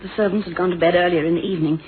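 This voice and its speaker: A woman's voice: fairly low pitch, fairly even intonation, racing, narrow, tinny audio, close to an everyday voice. Tentative, polite wife on old radio, acted by a woman. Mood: hesitant, deferential. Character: hesitant, deferential wife of a rich businessman. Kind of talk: 1970s radio drama acting. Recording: thin old radio recording, faint noise.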